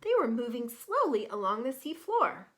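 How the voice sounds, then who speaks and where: A woman reading a children's storybook aloud in an expressive, careful way, pausing to explain things to young listeners. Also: a little high. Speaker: teacher reading a picture book to children.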